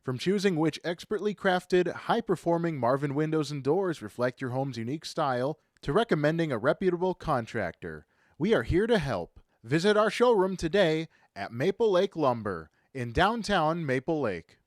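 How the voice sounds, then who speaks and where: Lively, high-voiced American radio promo man. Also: normal speed, no room sound, studio quality. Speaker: radio announcer. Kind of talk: scripted radio promo narration. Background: music.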